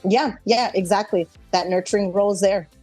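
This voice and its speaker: A woman's voice: mid-range voice, fairly even intonation, brisk, darkish tone, faint music behind. A middle-aged woman actor chatting casually in an interview, speaking in a reflective, conversational way.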